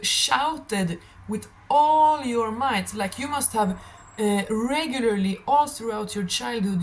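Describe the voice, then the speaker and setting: A young woman, non-native English speaker, with a slightly raspy voice, chatting casually and telling stories in a scattered, animated way as she hosts a tarot reading. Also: medium pitch, a little hiss or hum, low-quality recording. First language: not English. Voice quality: a little raspy. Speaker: tarot reader hosting a stream. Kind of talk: casual rambling monologue.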